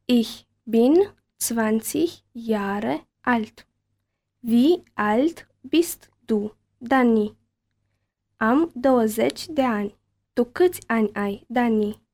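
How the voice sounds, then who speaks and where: Slow, careful woman reading a lesson. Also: a little high, darkish tone. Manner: careful, deliberate enunciation. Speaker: language lesson reader. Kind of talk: slow scripted reading of a bilingual lesson dialogue.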